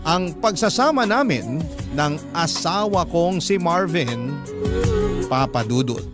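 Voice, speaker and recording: A male radio storyteller reads a scripted first-person confession. His voice sits a little high and sounds dramatic. Music plays clearly underneath. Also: animated, takes it slowly.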